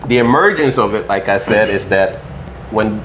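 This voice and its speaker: A man's voice: lowish voice, expressive, moderate pace, fairly bright tone, slight background noise, low-quality recording. A Ghana-born man as an interview guest, explaining things patiently and checking in with "right?" and "you know".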